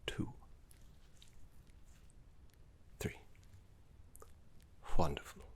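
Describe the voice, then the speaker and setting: A man voicing a gentle, courteous, scholarly older necromancer teacher in a soft-spoken, encouraging ASMR roleplay, with a breathy whisper. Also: slow, close to an everyday voice. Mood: soothing, encouraging. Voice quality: breathy, creaky low whisper. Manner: soft-spoken, genteel, encouraging, slightly fussy.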